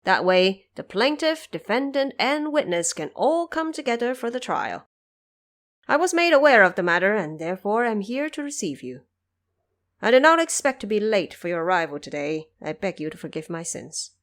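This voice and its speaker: A woman's voice: medium pitch, big pitch swings, moderate pace, natural voice. Audio drama role: male ghost judge, humble, formal, deferential court official, played by a woman. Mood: humble, apologetic.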